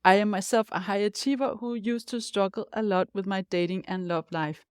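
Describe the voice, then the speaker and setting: Danish woman, 50s, life coach host; upbeat, direct to listener, mid-pitched, dry close mic. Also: steady tone, fairly quick, clear, resonant tone, no echo. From Denmark. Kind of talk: scripted podcast monologue.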